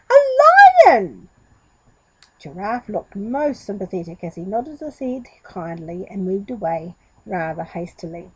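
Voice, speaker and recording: A woman storyteller giving an expressive narration of a story for children. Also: mid-range voice, slightly rough, no room sound, poor audio.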